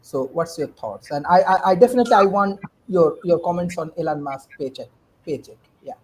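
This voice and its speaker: A man's voice: a little high, animated, brisk, a little mellow, slight background noise, poor audio. Earnest Bangladeshi-accented man. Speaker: lecturer asking questions. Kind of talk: forum discussion question.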